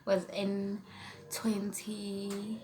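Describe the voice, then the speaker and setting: A woman's voice: medium pitch, monotone, very slow, crowd noise. A young woman from South Africa whose first language is Setswana, chatting casually through a tag video and whispering questions as she reads them. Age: young adult. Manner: chatty, rambling, trails off into whispers while reading questions. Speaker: YouTuber.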